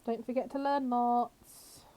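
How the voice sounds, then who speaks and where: A woman's voice: a little high, flat delivery, slow pace, warm tone. A woman with a slightly scratchy voice from a cold, chatting casually as she live-streams a game to viewers and talks to its characters. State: has a cold.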